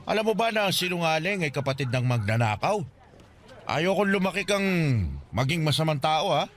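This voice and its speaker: A man's voice: fairly high pitch, lively intonation, normal speed, a bit bright. A man with a Filipino accent playing a poor, stern but caring older guardian in a radio drama, scolding and pleading earnestly. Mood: angry, hurt. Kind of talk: Tagalog radio drama acting.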